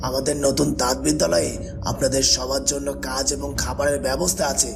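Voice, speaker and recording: A man's voice: mid-range voice, steady tone, brisk, vocal fry, music in the background, close to an everyday voice. A man playing a noble young warrior in a cartoon folk tale, speaking formally and earnestly. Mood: earnest, proud.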